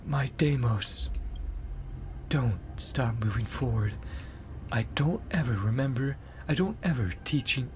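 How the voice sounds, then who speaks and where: A man voicing a gentle, proud royal mother who solemnly urges her son onward, as in game dialogue. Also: mid-range voice, expressive, a little slow, slight background noise, voice pushed up, natural voice. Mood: tender, solemn.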